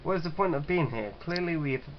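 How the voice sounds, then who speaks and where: A man casually hosting a let's-play, chatting and reading game text aloud in a halting, stumbling way. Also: fairly high pitch, animated. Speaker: gaming channel host. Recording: dull lo-fi mic with clear background noise.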